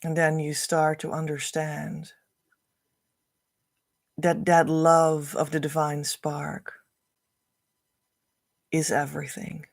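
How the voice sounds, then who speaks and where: A woman whose first language is Dutch, speaking English with a Dutch accent, teaches spiritual values on a livestream in an earnest, repetitive, flowing way with soft trailing phrase ends. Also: deep voice, flat delivery, slow pace, creaky, long pauses, no echo, studio quality. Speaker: spiritual coach.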